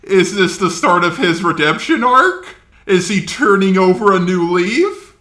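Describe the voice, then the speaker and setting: A synthetic, robotic man's voice reading scripted commentary in a stiff, deadpan way. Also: sits high, unhurried, echoey room. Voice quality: synthetic robotic text-to-speech voice. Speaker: YouTuber commentator. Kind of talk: scripted drama commentary narration.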